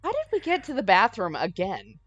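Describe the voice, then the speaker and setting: An easygoing woman co-hosts a gaming stream, recapping events with dry wit. Her voice is mid-pitched and recorded close and dry. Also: wildly swooping pitch, fairly quick, fairly bright tone, dry recording, muffled recording. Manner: easygoing, wry, recaps the plot. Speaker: let's play co-host. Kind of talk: casual gaming chat and story recap.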